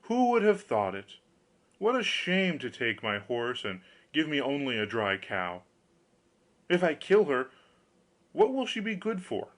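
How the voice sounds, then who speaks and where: A man's voice: mid-range voice, very animated, a little slow. A man voicing a gullible, cheerful young fellow in a storybook, with a naively enthusiastic, exclamatory manner. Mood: dismayed.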